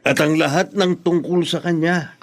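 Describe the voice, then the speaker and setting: A man's voice: a little high, fairly even intonation, moderate pace, lightly acted. A man acting in a radio drama as a patient older parent figure, soothing and advising.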